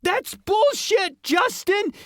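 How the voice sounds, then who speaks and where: A man voicing a panicky twelve-year-old boy wizard with a squeaky, whiny voice in an audiobook. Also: lively intonation, fairly bright tone, no room sound, studio quality, heavily acted. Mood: panicked. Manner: rapid, whiny pleading.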